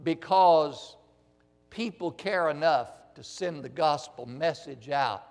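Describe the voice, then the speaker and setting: A man addressing a church congregation, speaking earnestly and deliberately and often repeating words as he goes. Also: on the high side, soft background music. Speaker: missionary ministry speaker. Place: large church hall. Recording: reverberant church hall.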